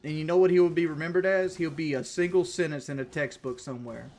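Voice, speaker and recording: A man's voice: a little high, steady tone, quick pace, a little mellow. Blunt, rambling male streamer. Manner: repeats himself and restarts often. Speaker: livestreamer. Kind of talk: casual livestream chat.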